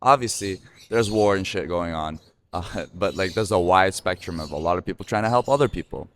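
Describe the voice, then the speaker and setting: A man's voice: sits low, a little fast, fairly bright tone, a little hiss or hum. A man in his thirties hosting a podcast, chatting loosely with lots of fillers and restarts. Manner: rambling, many fillers and restarts. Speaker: podcast host. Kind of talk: casual conversation.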